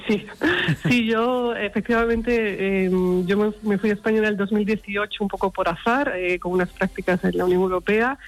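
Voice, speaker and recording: A woman author and illustrator, interviewed remotely, speaking with many pauses and fillers. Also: mid-range voice, fairly even intonation, poor audio. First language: Spanish. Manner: hesitant, many fillers and restarts. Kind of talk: radio interview by remote line. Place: remote from abroad.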